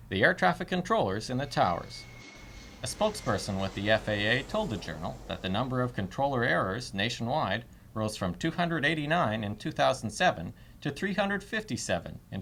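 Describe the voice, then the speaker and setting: Male reporter reading scripted investigative narration, medium pitch, highly varied intonation. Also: a bit bright, slight background noise. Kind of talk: scripted news documentary narration.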